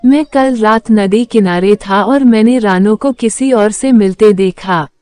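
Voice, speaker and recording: Woman voicing a sly, slow-talking cartoon fox. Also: medium pitch, dark tone, clear, resonant tone, no room sound, soft background music, natural voice. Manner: insinuating, bargaining. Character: sly, cunning male fox schemer. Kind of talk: cartoon storybook dialogue.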